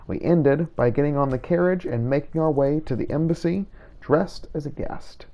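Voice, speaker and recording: A man's voice: on the high side, normal speed, warm tone. A man hosting a game playthrough, reading a scripted recap as narration. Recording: dull, slightly lo-fi voice track.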